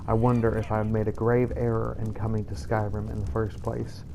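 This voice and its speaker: Low, gravelly man narrating solemnly. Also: monotone, brisk, background noise. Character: weary, self-doubting Redguard vampire hunter reading his journal. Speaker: host of a role-play game playthrough. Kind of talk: scripted in-character journal narration.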